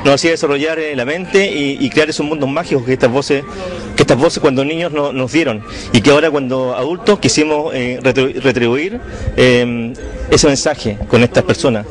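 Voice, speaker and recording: A man in his forties with a Chilean accent, answering haltingly in a TV interview. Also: mid-range voice, soft background music. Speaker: event producer. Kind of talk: informal TV interview. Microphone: handheld interview microphone.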